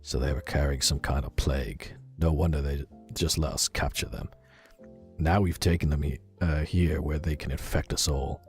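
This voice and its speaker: A man's voice: low-pitched, lively intonation, moderate pace, darkish tone. A man playing an irritable, wary field operative reading out his personal log. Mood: bitter, irritated. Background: music.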